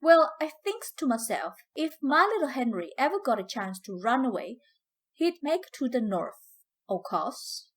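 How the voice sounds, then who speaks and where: Woman acting a proud older Southern storyteller, slow, expressive. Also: a little high. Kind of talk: dramatic first-person storytelling in dialect.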